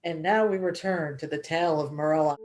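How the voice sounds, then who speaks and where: An actress playing a radio show announcer, presenting in a scripted, theatrical radio style. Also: female voice, low voice, a little fast, loud music behind the voice, natural voice. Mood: composed.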